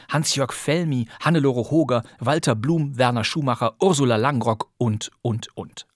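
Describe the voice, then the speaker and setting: A man's voice: medium pitch, no echo, studio quality. A man presenting as a podcast host, introducing a radio play from a script with articulate, showman-like phrasing.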